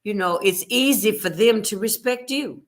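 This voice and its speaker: A woman's voice: mid-range voice, moderate pace. A woman hosting an interview, chatty and affirming as she talks. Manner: chatty, affirming, frequent short agreements. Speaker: host. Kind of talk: casual interview talk.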